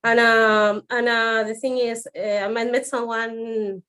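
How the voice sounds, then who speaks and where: A woman's voice: on the high side, level tone, measured pace, sharp tone. A woman in her forties with a Spanish accent, a native Spanish speaker raised in Madrid, answering interview questions over a video call in a hesitant, slightly nervous way with many fillers. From Venezuela, raised in Madrid. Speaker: guest. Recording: music in the background.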